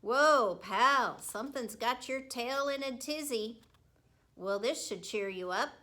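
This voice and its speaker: A woman's voice: a little high, slow, sharp tone. A woman reading a children's book, voicing a friendly, chummy male school janitor who talks in a folksy, exclamatory way. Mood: cheerful. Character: friendly, hearty male school janitor, big-hearted and chatty. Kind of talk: children's book read aloud.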